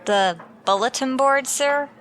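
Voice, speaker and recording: A woman playing a wry, quick-witted schoolteacher with deferential sarcasm, in an old-time radio sitcom style. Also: sits high, a bit bright. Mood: placating. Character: wry, quick-witted female high school English teacher.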